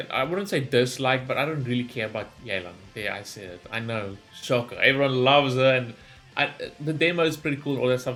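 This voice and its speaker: A man with a mid-pitched voice hosts a casual gaming reaction video. He shares opinions in a loose, rambling and enthusiastic way. Instrumental music with plucked guitar plays behind him. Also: a bit bright.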